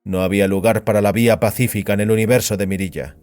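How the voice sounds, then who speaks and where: A man narrating a dramatized story as scripted fiction, in a grave, deliberate storytelling manner. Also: lowish voice, fairly even intonation, a little mellow. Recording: music behind the voice.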